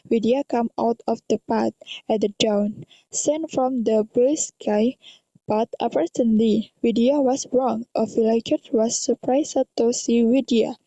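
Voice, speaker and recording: High, muffled young Indonesian woman narrating. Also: fairly even intonation, measured pace, dark tone. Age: young adult. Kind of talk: scripted story narration, read out. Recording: muffled, roomy home recording.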